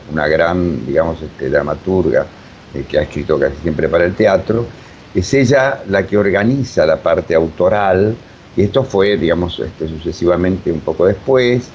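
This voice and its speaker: An older man with an Argentine Spanish accent answers interview questions conversationally, in a halting, digressive way, often repeating himself. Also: a little mellow. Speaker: interviewed theater director and voice teacher. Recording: dull sound with faint rumbling noise.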